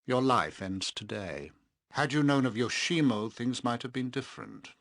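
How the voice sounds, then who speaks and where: A cold, grandiose elven sorcerer played by a man speaks in a mid-pitched voice, recorded very dry and close. He is a video game villain, soulless and bent on revenge. His diction is formal and deliberate, each phrase weighted with theatrical menace. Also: fairly bright tone, no room sound, natural voice. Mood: cold, gloating.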